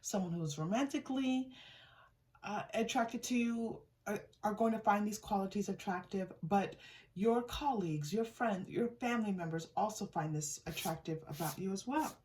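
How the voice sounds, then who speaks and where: A woman's voice: medium pitch, moderate pace, darkish tone. A woman giving a casual, improvised tarot reading, speaking directly to the listener in an encouraging, conversational way. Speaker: tarot reader hosting a reading. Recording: distant mic with faint noise.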